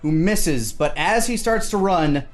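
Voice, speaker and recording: A man's voice: high voice, expressive, a little slow. Casual male game master over faint music. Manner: casual, colloquial. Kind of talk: improvised narration of a tabletop game.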